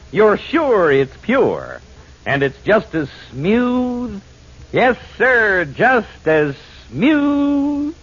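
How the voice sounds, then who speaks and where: A male spokesman giving a slow, emphatic endorsement in an old radio ad. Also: high-pitched, a bit bright. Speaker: commercial spokesman. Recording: dull old radio broadcast.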